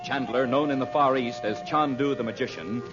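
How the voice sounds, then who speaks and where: A man's voice: medium pitch, fairly quick, lightly acted. A man announcing on an old radio serial in a polished, theatrical style, like an advertiser and story narrator. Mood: dramatic. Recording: muffled old radio recording with music.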